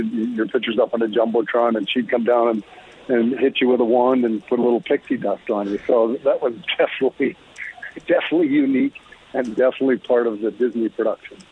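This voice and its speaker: A middle-aged man with a Canadian accent and a husky voice, reminiscing casually as an interview guest and former hockey player. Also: medium pitch. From Alberta, Canada. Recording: dull phone-like lo-fi sound.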